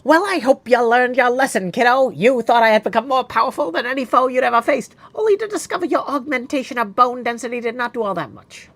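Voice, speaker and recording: A man's voice: very high voice, animated, fast talker, hardly any pauses, fairly bright tone, no echo, pitched above the performer's own voice, exaggerated voice. A man voicing a brash, wisecracking but good-natured skeleton sparring dummy in a video game. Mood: teasing. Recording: clear background noise.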